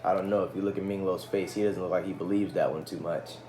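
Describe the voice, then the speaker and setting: A man's voice: low voice, fairly even intonation, fast, mellow, a little hiss or hum. A man reading a picture book aloud as a teacher, with casual asides to young listeners.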